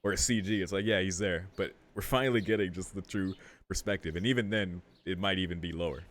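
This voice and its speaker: A man's voice: sits low, fast talker, a bit bright. A man hosting a game playthrough, talking in a slangy, jokey way and reading the game's text aloud. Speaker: gaming video host. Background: game music.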